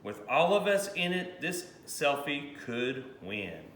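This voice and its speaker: A man's voice: mid-range voice, very animated, takes it slowly, a bit bright. A man voicing a boastful, eager young male elf in a children's storybook, in an exclamatory way. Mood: cheerful. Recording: roomy echo, faint background noise.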